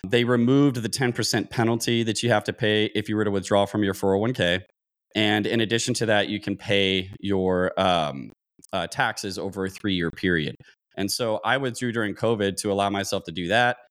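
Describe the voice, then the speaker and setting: A man's voice: on the low side, steady tone, moderate pace. A man in his thirties explaining things conversationally as an interview guest, thinking aloud and often restarting sentences. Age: 30s. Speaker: podcast guest, real estate investor.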